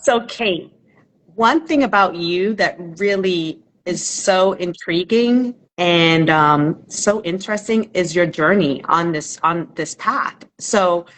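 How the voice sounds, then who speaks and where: A woman's voice: on the low side, slow, fairly bright tone, a little hiss or hum, poor audio. A woman from England, hosting an interview in an eager, talkative way. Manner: enthusiastic, chatty. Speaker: host. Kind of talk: interview chat.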